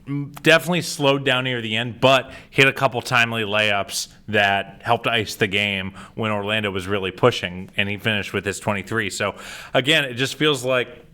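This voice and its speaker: Male co-host, voice a bit worn from cheering; low, forceful timbre, loose chatty delivery with hesitations. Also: normal speed. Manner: casual, with frequent uh fillers and restarts. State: voice a little strained from cheering. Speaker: podcast co-host. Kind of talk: casual sports podcast chat.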